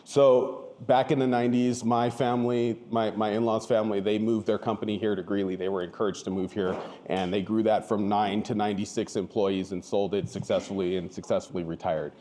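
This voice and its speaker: Soft-spoken, low-voiced man candidate. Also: flat delivery, fairly quick, few pauses, a little hiss or hum. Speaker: city council candidate. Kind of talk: forum answer.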